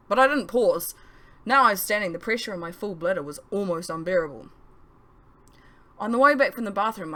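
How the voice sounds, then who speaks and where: A woman reading her own novel aloud as expressive audiobook narration. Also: fairly low pitch.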